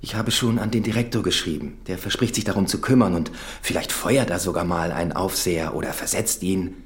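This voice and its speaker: A man plays a prison doctor in a radio drama. The voice is very low, and he talks in a calm, casual, matter-of-fact way, kindly yet resigned. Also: a little fast, natural voice. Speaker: actor in a radio crime drama. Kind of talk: German radio drama acting.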